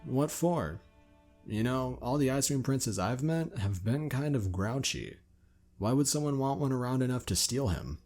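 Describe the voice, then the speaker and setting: A man plays a cheeky young male dragon in a fan audio drama, puzzled, with a medium-pitched voice and faint music behind. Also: expressive, unhurried, a little mellow.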